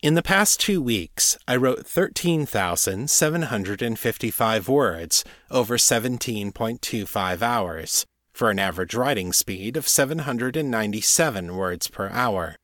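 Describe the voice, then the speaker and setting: A man's voice: fairly low pitch, animated, measured pace, hardly any pauses, a bit bright, dry recording, studio quality. A man narrating in a scripted style, as the host of a fiction podcast. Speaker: author narrating own audiobook podcast and host.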